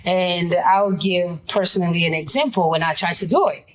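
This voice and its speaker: A woman from Congo, a talk show guest speaking in a relaxed, personal way with hesitant fillers. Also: on the low side, moderate pace, low-quality recording. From Democratic Republic of Congo. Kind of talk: casual talk show discussion.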